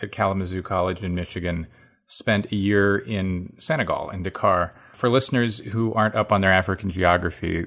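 A man hosting an interview, asking prepared questions and giving measured explanations for listeners. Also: sits low, vocal fry, fairly bright tone. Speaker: radio interview host.

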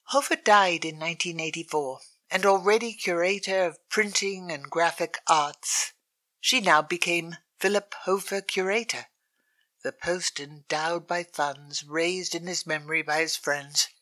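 A woman reading a written obituary aloud in a measured, even way. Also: lowish voice. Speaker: reader.

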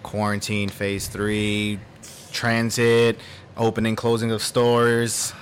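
Flat-voiced New podcast host. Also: male voice, lowish voice, slow, a little hiss or hum. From New York City. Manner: laid-back, filler-heavy. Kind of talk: casual chat.

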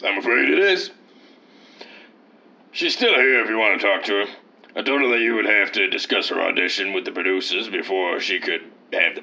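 A man's voice: deep voice, creaky, bright, forceful tone, exaggerated voice. An actor playing a slick but hemming and hawing casting man in an old-time radio comedy. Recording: noisy, dull old radio sound.

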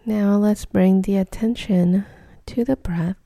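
A woman guides a meditation very slowly, in soft, soothing phrases. Her voice is deeply mellow and breathy, nearly whispered at times, with a creaky fry beneath it. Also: steady tone, dry recording. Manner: soft, soothing, unhurried delivery with short phrases.

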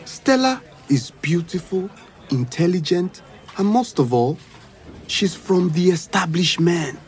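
A cocky young womanizer with a Nigerian accent, scheming and sure of himself. He draws his words out slowly, with big swoops in pitch, in audio drama style. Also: animated. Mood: smug.

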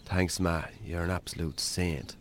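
Irish farmer, weary, wry, fairly deep voice, understated radio drama. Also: male voice, level tone, unhurried, slight background noise. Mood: fond, content. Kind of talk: naturalistic radio drama acting.

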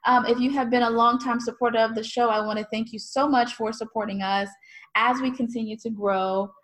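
A woman's voice: a little high, steady tone, fairly quick, fairly bright tone, a little hiss or hum. A woman hosting a podcast, opening the show and interviewing her guest in a friendly way, with many fillers. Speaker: podcast host. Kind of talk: scripted show intro, then interview.

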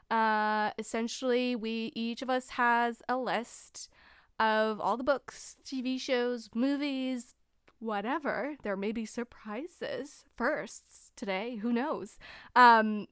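A woman's voice: a little high, sharp tone, clean tone, no echo, clean studio sound. A woman co-hosting a casual podcast, chatty and laughing often, sounding congested from a cold. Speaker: podcast co-host.

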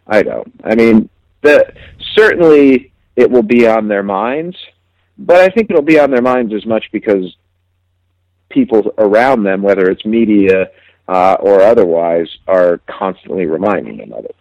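A man giving casual sports analysis as a guest, reflective and halting, repeating words as he thinks. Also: fairly low pitch, animated, darkish tone, no echo, lo-fi sound.

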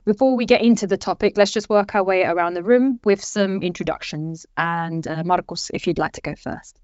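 A woman's voice: on the low side, fairly quick, a little mellow, no room sound. A woman hosting a panel podcast, introducing guests with upbeat, brief affirmations. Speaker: podcast host. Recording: dull dry sound, faint music.

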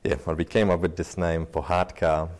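Man, 30s, deep warm voice, relaxed rambling interview guest. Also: normal speed. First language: Czech. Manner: loose and chatty, many fillers and restarts. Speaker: interview guest, pianist and researcher. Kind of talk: casual interview talk.

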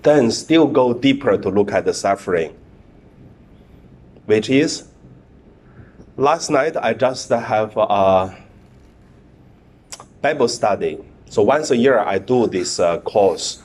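Slow, low-voiced priest with halting speech. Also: male voice, lively intonation, a little mellow, slight background noise. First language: Mandarin. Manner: halting, repeats words, many fillers. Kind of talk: sermon.

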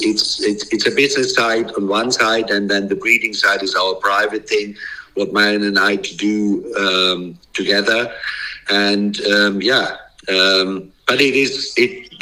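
A man's voice: lowish voice, bright, forceful tone, poor audio. A middle-aged German man with a German accent, a breeder and bloodstock agent, talking hesitantly with many fillers while his voice gives out. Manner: halting, many fillers and restarts. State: losing his voice.

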